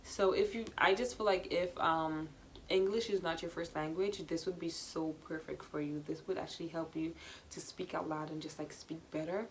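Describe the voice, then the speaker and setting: A young woman chats quickly to her camera at home, her voice sitting a little low. She rambles with ums, repeats and frequent laughs. A faint hum of room ambience sits under her voice. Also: fairly even intonation. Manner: fast, chatty, laughing, lots of ums and repeats. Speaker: vlogger. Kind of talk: casual talk to camera.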